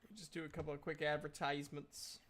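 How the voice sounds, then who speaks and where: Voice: mid-range voice, little pitch movement, quick pace, fairly bright tone. A game master hosting a livestream, chatting casually and recapping a tabletop game in an informal, sweary way. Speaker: game master and stream host.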